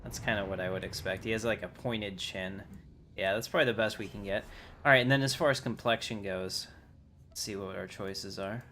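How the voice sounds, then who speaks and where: Low-voiced man streaming a game casually. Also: fairly even intonation, a little fast, pushed and bright. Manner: informal, thinking aloud while playing. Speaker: livestreamer, game player and channel host. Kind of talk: casual livestream gameplay commentary. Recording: faint noise, keyboard typing.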